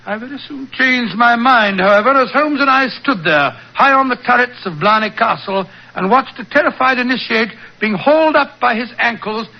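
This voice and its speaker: An actor playing an elderly, genial British doctor who recounts old adventures in a hesitant, avuncular way, in 1940s radio style. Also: male voice, very high-pitched, moderate pace, few pauses. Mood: earnest storytelling. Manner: avuncular, hesitant, slightly fussy storyteller. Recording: muffled old-time radio recording.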